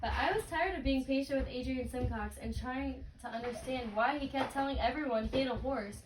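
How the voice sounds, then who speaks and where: A woman's voice: sits high, brisk. A woman reading a picture book aloud expressively to a child, as if leading a read-aloud lesson. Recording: roomy, distant mic, clicks and clear noise.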